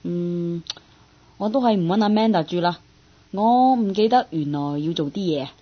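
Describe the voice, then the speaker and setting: A woman's voice: on the low side, moderate pace, muffled recording, slight background noise, natural voice. A woman acting a boyish, jealous young woman in a radio drama, with a pointed, probing manner and a Hong Kong Cantonese accent. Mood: dismissive.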